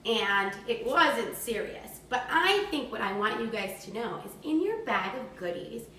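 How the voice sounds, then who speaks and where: Female storyteller for young children, high and bright, recorded from a distance in a roomy space. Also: very animated, measured pace, a little hiss or hum. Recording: echoey room, distant microphone.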